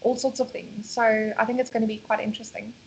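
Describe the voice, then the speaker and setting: Husky-voiced South African woman co-host. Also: a little high, level tone, fast, a little mellow, rough recording. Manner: chatty, laughs often, reads out viewer comments. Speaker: co-host of a live stream. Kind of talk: casual chat.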